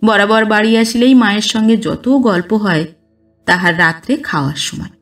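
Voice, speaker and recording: A woman reading a literary story aloud as a narrator, with expressive, dramatized delivery. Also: mid-range voice, moderate pace, distant mic. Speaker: audiobook narrator. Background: background music.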